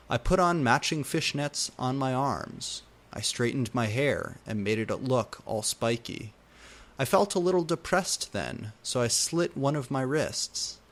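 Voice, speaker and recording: A man reading fan fiction aloud in a deliberate, theatrical way with exaggerated emphasis. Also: medium pitch. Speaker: reader.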